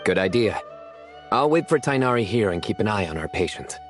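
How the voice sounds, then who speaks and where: A man playing a stern, reserved young lawman in a video game, measured and deadpan. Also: fairly low pitch, faint music behind. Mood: stoic, grave.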